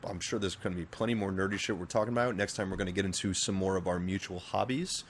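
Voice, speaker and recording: Swearing, animated podcast co-host. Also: male voice, low-pitched, fast, slight background noise. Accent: General American. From Northern Virginia, USA. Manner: crude, swearing, animated and joking. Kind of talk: casual chat.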